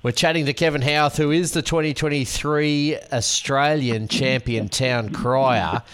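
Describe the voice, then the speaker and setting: A man who hosts on radio and calls races, interviewing a guest with relaxed, layered questions. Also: mid-range voice, measured pace, clear, resonant tone. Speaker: radio host, race caller.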